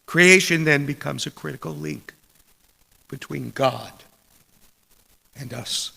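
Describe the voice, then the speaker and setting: Slow, gravelly-voiced man preaching in echoing space. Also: a little high, sharp tone. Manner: deliberate, measured, broken into short phrases with pauses. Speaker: preacher reading a sermon. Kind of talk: scripted sermon read aloud. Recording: long church reverb.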